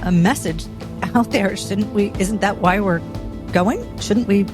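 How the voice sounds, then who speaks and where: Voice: mid-range voice, unhurried, close to an everyday voice. A player voicing an inquisitive, insistent spaceship crew member in improvised cinematic roleplay. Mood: urgent, insistent. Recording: music bed behind the voice.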